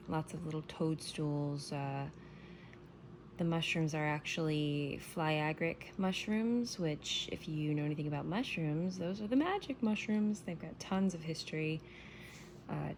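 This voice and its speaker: A woman giving a casual morning tarot reading, soft-spoken and meandering, her voice still scratchy from waking up. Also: deep voice. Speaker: tarot reader.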